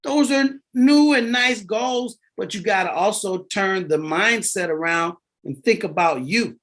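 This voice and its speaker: A man in his fifties, a guest on a podcast, explaining finance in an animated, emphatic way and repeating his key words. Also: high-pitched, measured pace. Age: 50s. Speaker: guest, insurance and debt author.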